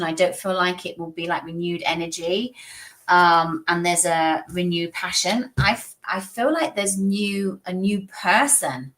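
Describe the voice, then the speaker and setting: A woman's voice: mid-range voice, fairly bright tone. A woman hosting a live-stream tarot reading, chatty and reassuring, often repeating herself. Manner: chatty, repeats phrases, reassuring spiritual talk. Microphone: streaming setup.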